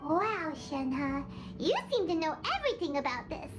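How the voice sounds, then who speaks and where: A woman voicing a tiny, excitable floating female companion creature in a video game, bubbly and exclaiming, talking about herself by name. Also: moderate pace. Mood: fussing. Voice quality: high-pitched, childlike. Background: game music.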